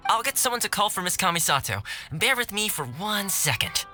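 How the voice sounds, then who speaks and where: A man's voice: sits high, sing-song, brisk, pushed and bright, soft background music, exaggerated voice. A man acting an anxious but helpful friendly ally in an English video game dub.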